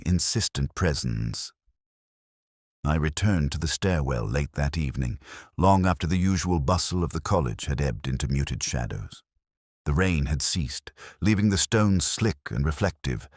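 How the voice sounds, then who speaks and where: A man with an extremely deep voice narrates a detective story in the first person. His delivery is measured and contemplative, with a literary feel and expressive rises and falls. The sound is dry and close, without room reflections. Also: no echo. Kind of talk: scripted first-person audiobook-style mystery narration.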